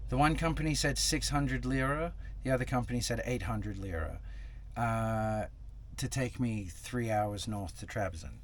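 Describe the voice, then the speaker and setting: A man's voice: mid-range voice, a little slow, few pauses. A young man talking casually to camera in a travel vlog, rambling with lots of fillers and restarts, sitting in a truck cab. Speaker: travel vlogger. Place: inside a parked truck cab.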